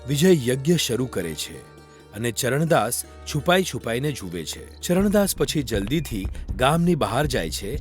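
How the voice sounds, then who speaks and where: A man's voice: mid-range voice, sing-song, unhurried. A man narrating a scripted moral story for children. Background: faint music.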